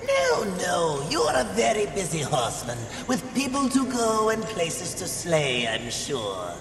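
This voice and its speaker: An actor playing an oily, sly demon in a video game, flattering and teasing in a drawn-out way. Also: male voice, sits high, over music, heavily acted. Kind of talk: theatrical video game acting. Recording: echoey hall, clear noise.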